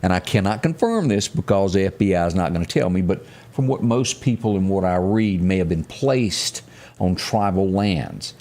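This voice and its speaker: A man hosting a live local news talk show, speaking in a halting, folksy way and repeating phrases for emphasis. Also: sits low, expressive, a little mellow. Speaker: morning show host.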